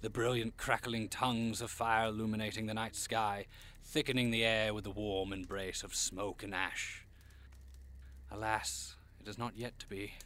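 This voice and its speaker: A man playing a genteel, hospitable and macabre devil-like gentleman in an audio drama, effusive and quaintly polite in a theatrical way. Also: fairly low pitch, flat delivery, normal speed. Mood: wistful, macabre.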